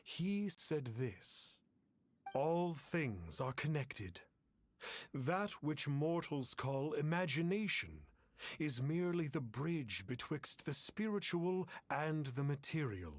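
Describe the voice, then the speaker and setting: An actor voicing a masked self-styled sage who speaks in a grandiose, archaic way. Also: male voice, fairly high pitch, lively intonation, slow pace, no room sound. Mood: lofty. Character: masked pompous fake sage, middle-aged man. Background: game music.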